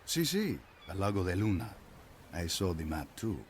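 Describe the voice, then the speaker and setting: A man's voice: sits low, sing-song, normal speed, a little hiss or hum. A man playing an arrogant, greedy Spanish conquistador with a Spanish accent, pompous and scornful, in a game cutscene. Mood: smug. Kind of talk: video game cutscene acting. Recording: music behind the voice.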